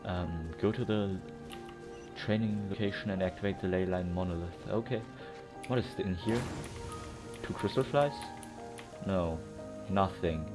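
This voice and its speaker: Low-voiced young man playing a game. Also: a little slow, pauses often. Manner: rambling, slangy. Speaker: video game streamer. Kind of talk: casual let's play commentary. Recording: game music clearly behind. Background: video game music.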